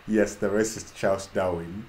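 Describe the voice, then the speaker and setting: Low-voiced man from Uganda, impassioned casual host, lots of repetition and ums, faint ambience. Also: darkish tone, a little hiss or hum. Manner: fervent, rhetorical, repeats phrases, trails off with ums. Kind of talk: casual talk to an online audience.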